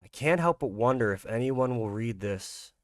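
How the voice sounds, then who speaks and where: A man's voice: medium pitch, animated, normal speed, no room sound, studio quality, close to an everyday voice. Man playing tense businessman dad and struggling writer, visual novel hero, mid-range voice, clipped, brushes worries aside. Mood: brooding.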